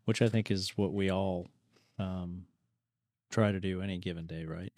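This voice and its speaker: A man co-hosting a podcast interview, speaking in a measured, reflective way with many fillers. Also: low-pitched, steady tone, a little mellow, no echo, studio quality. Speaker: podcast co-host. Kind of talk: conversational interview.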